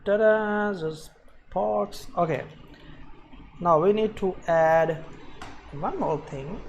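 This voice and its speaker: A man presenting an unscripted coding screencast, thinking aloud in a halting way as he works. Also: high-pitched, vocal fry, highs cut off, a little hiss or hum. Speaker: programming tutorial presenter.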